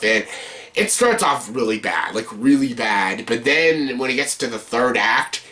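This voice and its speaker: A man's voice: mid-range voice, bright, forceful tone. A man hosting an opinionated, unscripted countdown review, speaking bluntly and emphatically in an exasperated way, with casual swearing and words repeated for stress. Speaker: host of a movie countdown video.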